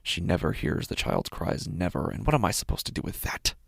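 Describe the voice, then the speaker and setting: An actor playing a devoted, anxious, obsessive father in a horror audio drama monologue, speaking haltingly and intensely. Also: low voice, huge pitch swings, vocal fry, fairly bright tone, husky, no echo, clean studio sound, full character voice. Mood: anxious, unsettled. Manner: hesitant, halting, intense, sighing.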